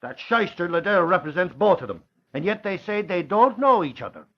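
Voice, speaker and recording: An actor playing an excitable, slangy newspaper reporter in 1940s radio drama style. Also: male voice, sits high, fairly quick, full character voice. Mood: indignant. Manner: exclamatory, slangy, indignant. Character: blustery, excitable newspaper reporter tipping off his boss. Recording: muffled old radio recording.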